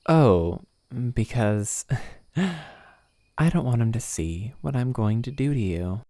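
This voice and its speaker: A man's voice: medium pitch, expressive, mellow. A man playing a sly, mocking vampire who poses as an awkward hiker in an audio drama. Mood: gloating, menacing. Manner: halting, coy, mocking. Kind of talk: cinematic audio roleplay acting.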